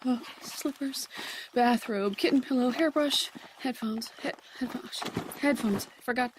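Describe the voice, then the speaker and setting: A middle-aged actress playing a soft-spoken, flustered mother worried for her daughter in an audio drama. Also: a little high, a little slow, a little hiss or hum, natural voice, low-quality recording. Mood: anxious.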